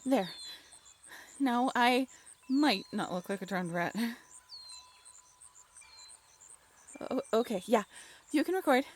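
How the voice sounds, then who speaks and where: A woman acting a bashful, affectionate young writer on a date in an intimate audio roleplay, halting and playfully teasing. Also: a little high, animated. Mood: flustered.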